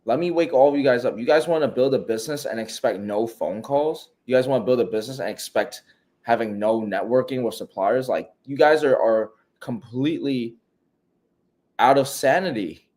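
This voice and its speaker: A man's voice: mid-range voice, moderate pace, darkish tone. A man with a New York accent co-hosting a live Q&A, blunt and emphatic, slangy. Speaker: co-host of a live coaching Q&A. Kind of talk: casual live-stream chat.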